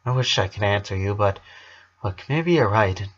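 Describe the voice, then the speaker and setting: A man voicing an earnest young man who speaks haltingly and consoles a boy in a story reading. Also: lowish voice, expressive, muffled recording, pitched below the performer's own voice. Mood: sad, unsure.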